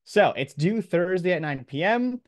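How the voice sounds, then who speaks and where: Casual male professor, slightly higher voice, dry sound. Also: animated, moderate pace, dry recording. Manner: casual, encouraging, slangy. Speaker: college professor. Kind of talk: informal spoken lecture to a class.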